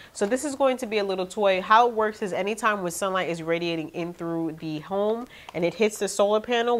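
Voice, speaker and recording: A young woman with a nasal, congested voice chatting to her viewers about her shopping haul in her living room. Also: lowish voice. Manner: chatty, animated, talks to viewers directly. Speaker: vlogger. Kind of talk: casual haul video chat.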